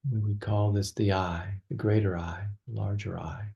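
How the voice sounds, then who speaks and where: A man leading a guided meditation as a teacher, speaking gently and slowly with long pauses between phrases. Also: on the low side, steady tone, gravelly, muffled recording.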